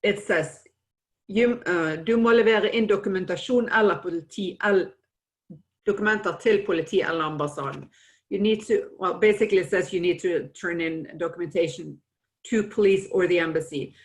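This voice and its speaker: A woman with a lowish voice moderates a webinar, reading audience questions aloud in a steady way. Her voice comes through a video call. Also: moderate pace. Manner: steady, reads questions, explains answers.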